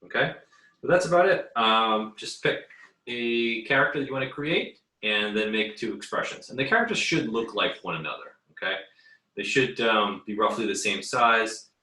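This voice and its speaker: A man giving a casual, unscripted tutorial as an art teacher, haltingly thinking aloud as he works. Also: fairly low pitch, animated, bright, forceful tone. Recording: echoey, roomy sound.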